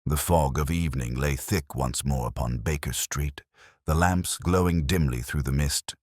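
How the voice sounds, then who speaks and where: A man reading a first-person detective story as narrator, in a formal, measured storytelling manner. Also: a bass voice, darkish tone, no room sound, clean studio sound. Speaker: audiobook narrator.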